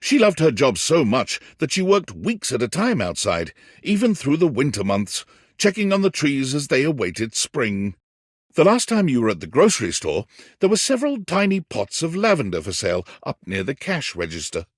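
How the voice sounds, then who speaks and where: A whimsical storybook narrator for a video game, with a husky voice in a very dry, close recording. His delivery is theatrical and lively in pitch, wry yet tender, with carefully weighed phrasing. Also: normal speed, no room sound. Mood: tender, wistful. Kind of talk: scripted second-person storybook narration.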